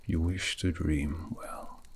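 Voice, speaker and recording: A man playing a gentle, wistful elven dream mage in an ASMR fantasy roleplay, murmuring slowly and poetically in a breathy, gravelly half-whisper. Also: rumbling low, fairly even intonation, heavily acted. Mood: musing, gentle. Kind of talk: ASMR fantasy roleplay, soothing sleep guidance.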